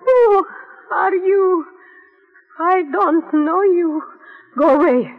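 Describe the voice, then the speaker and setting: Frightened old captive woman, very high halting voice, tearful, old radio drama, tinny sound, loud music. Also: heavily acted. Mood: frightened, confused. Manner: halting, distraught. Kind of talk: 1940s-50s radio acting.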